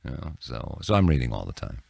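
Very deep, gravelly older man, animated. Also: brisk, no echo, studio quality. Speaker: podcast guest, TV news broadcaster. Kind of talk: casual chat, storytelling. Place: small indoor room with bookshelves. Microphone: close podcast microphone on arm.

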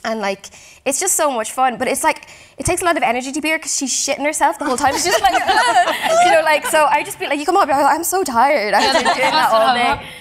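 A young woman, an actress telling animated stories on a festival panel. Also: sits high. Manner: rapid, animated storytelling. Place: stage in front of a live audience.

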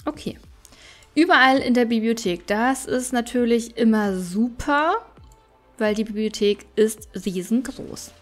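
A high-pitched female let's player talks casually through her game. She thinks aloud in a chatty way, with music playing behind her. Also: unhurried. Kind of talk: casual gameplay commentary.

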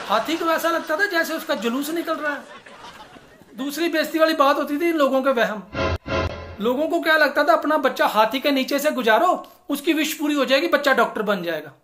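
A man in his thirties doing a stand-up comedy monologue to camera in a small room, animated and switching into voices. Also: extremely high pitch, music in the background. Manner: animated, gesturing, quick shifts into character voices.

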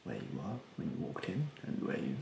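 A young man doing a soft-spoken ASMR roleplay in a breathy, hushed voice, politely snide and condescending with drawn-out, hesitant phrasing. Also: deep voice, wildly swooping pitch, roomy sound, a little hiss or hum. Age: young adult. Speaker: ASMR performer playing a rude facial therapist. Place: bedroom, indoors.

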